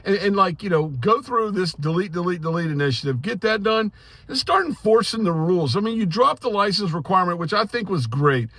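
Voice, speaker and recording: An American man in his sixties giving an informal, earnest opinion monologue in a plainspoken, halting way, often repeating words. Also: high voice, animated, fairly bright tone, no echo. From United States. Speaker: amateur radio hobbyist giving commentary.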